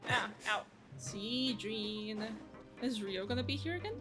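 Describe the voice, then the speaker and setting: A woman's voice: on the high side, animated, a little slow, music in the background. A woman giving casual, informal reactions as she plays through a game. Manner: informal, chatty. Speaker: game streamer.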